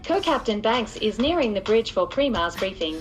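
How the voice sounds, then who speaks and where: A woman's voice: medium pitch, big pitch swings, moderate pace, soft background music, lightly acted. A woman voicing a hyper-intelligent, helpful ship computer in a sci-fi comedy audio drama, formal and politely sarcastic. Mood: dryly cheeky. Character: hyper-intelligent female ship supercomputer, helpful, dryly cheeky. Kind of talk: scripted science-fiction comedy audio drama.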